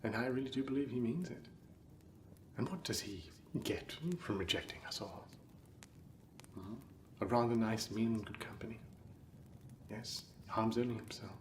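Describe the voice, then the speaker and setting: A man's voice: on the low side, moderate pace, pauses often, close to an everyday voice. A man playing a good-humoured, forgiving nephew at a Christmas party in a period literary drama, genial and playful. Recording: distant mic, faint background noise.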